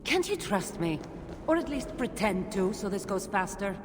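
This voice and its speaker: A woman's voice: mid-range voice, sing-song, normal speed, lightly acted. A woman playing a teasing, chatty, world-weary wandering warrior from ancient Greece in a video game. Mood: playful. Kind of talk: dramatic video game dialogue. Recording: noisy outdoor game ambience behind.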